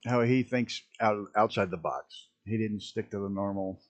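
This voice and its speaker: A man with a rough early-morning voice, chatting casually and rambling as he streams a flight simulator. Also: fairly low pitch, moderate pace, faint music behind. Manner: rambling, self-interrupting, chuckling at mishaps. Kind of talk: casual live-stream commentary.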